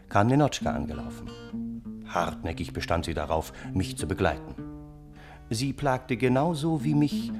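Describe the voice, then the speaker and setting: Polite gentleman narrator, lowish voice, literary radio play, bit of music behind. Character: smitten, courteous gentleman friend who narrates the tale.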